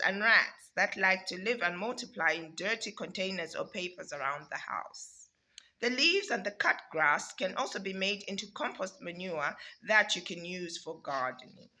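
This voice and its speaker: A woman from Zambia with a Zambian accent, reading a children's picture book aloud with lively emphasis and the odd hesitant filler. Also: medium pitch, creaky. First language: Zambian language. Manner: animated, stretching words for emphasis, with hesitant fillers. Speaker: storybook reader.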